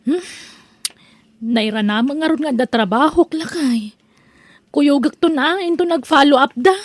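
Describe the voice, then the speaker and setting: A woman's voice: high-pitched, a little slow. A woman playing a dutiful, worried working daughter of elderly parents in an Ilocano comedy audio drama. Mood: explaining.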